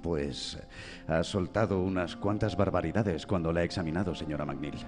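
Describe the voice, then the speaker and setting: Here is a man playing a patient, tactful doctor in a radio drama. His voice is low, with music clearly playing underneath. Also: normal speed, lightly acted. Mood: hesitant, tactful.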